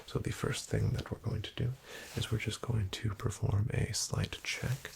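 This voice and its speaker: A man whispering as a formal, dryly ironic doctor in a soft-spoken ASMR roleplay. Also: fairly low pitch, flat delivery, moderate pace, vocal fry, slight background noise.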